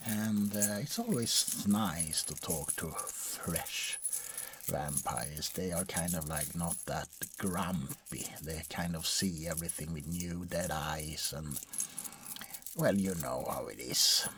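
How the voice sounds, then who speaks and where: A weary, grumpy old vampire doctor, acted by a man for an ASMR roleplay. His voice is low and unhurried, and he rambles hesitantly with dry morbid humour. Background noise is plainly audible. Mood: bored, musing. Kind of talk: soft-spoken ASMR roleplay monologue.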